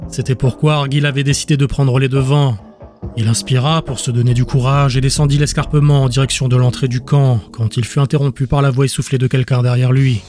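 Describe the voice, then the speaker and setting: A man's voice: mid-range voice, fairly even intonation, fairly quick, darkish tone, soft background music. A man reading scripted dramatic fantasy narration as an audiobook narrator.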